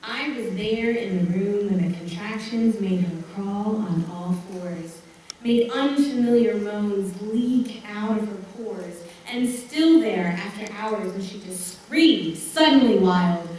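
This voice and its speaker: Echoey, distant woman reciting a slow dramatic monologue. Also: medium pitch, a little mellow. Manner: dramatic, deliberate phrasing with pauses. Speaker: stage performer of a monologue. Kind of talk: theatrical spoken monologue recitation. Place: large hall or stage, recorded from afar. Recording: very echoey hall, distant mic, faint noise.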